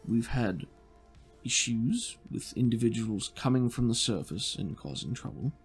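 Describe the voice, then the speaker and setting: A man voicing a stern, formal male interrogator who speaks deliberately and with grave reassurance in a tabletop game. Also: medium pitch, animated, husky, faint music behind, full character voice. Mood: formal, firm.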